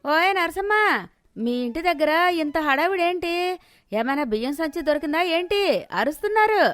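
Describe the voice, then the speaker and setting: Woman acting nosy village neighbour lady, raised pitch, brash, teasing, gossipy, storybook dialogue, dry sound, faint music. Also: expressive, normal speed, dry recording. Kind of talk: acted moral storybook dialogue.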